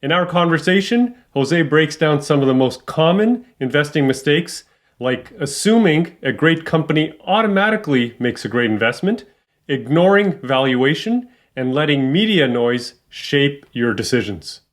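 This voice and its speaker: A man's voice: on the high side, expressive, moderate pace. A man hosting a podcast interview, upbeat and welcoming, with frequent fillers. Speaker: podcast host.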